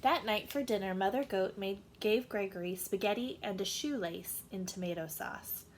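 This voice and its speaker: A woman reading a children's story aloud in an animated storytelling style. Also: mid-range voice, normal speed, background noise.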